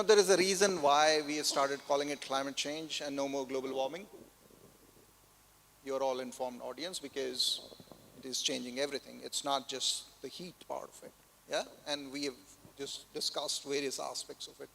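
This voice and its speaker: A man's voice: medium pitch, fairly even intonation, normal speed, vocal fry, a bit bright, a little hiss or hum, rough recording. A man moderating a panel, giving brief emphatic prompts to speakers.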